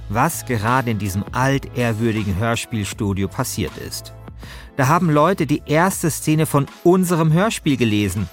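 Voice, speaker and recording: Male host, mid-pitched, narrating and chatting informally over soft music. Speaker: podcast host. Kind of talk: narration and casual chat.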